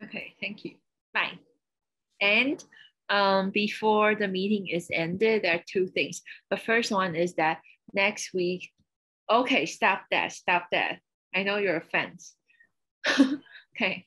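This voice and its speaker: A woman's voice: medium pitch, takes it slowly, long pauses, fairly bright tone, low-quality recording. A young woman in her twenties, giggly and loose, making casual announcements as the presider of a club meeting over a video call. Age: 20s.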